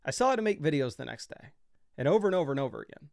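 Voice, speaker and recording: A man's voice: a little high, big pitch swings, racing, creaky, darkish tone, no room sound, studio quality. A young man hosting a fantasy football livestream, talking loosely and casually with lots of fillers as he explains his picks.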